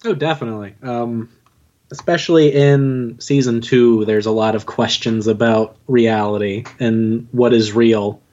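A man's voice: mid-range voice, fairly even intonation, measured pace, a little mellow. Male interview guest, mid-range voice, casual conversation, slightly dull home recording. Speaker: podcast guest, audio drama writer. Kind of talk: casual chat. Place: home studio in an old house. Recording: dull lo-fi home studio microphone.

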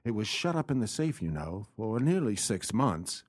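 Lively, loyal gentleman's companion. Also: lowish voice, normal speed. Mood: reassuring. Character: loyal, practical gentleman's companion, steady and reassuring. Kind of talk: radio play acting.

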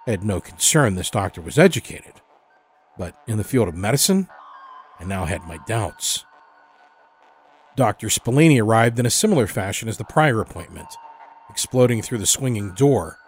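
A man's voice: lowish voice, slightly rough. A man reading scripted first-person horror fiction as a storyteller, with a dramatic, brooding manner.

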